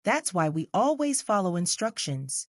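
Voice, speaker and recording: A woman voicing a calm, serious and reassuring mother who explains things instructively in a children's storybook. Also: lowish voice, measured pace, no echo, studio quality.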